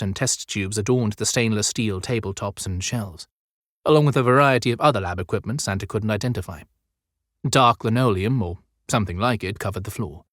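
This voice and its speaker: A man narrating an audiobook story in a measured, descriptive storytelling style. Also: lowish voice, no room sound, clean studio sound. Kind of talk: scripted dramatic storytelling narration.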